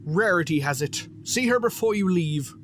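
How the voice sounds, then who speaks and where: A man acting an aloof, commanding pony who rules a theater in an audio drama, speaking in a dry, imperious, measured way. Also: high voice, lively intonation, a little hiss or hum. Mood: brusque. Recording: music bed behind the voice.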